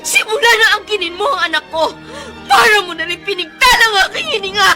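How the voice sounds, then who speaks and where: An anguished mother in a Filipino radio melodrama, very high and swooping in pitch. She is tearful, pleading and determined, with music behind her. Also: lively intonation, a little fast. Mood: anguished, defiant. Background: loud dramatic music.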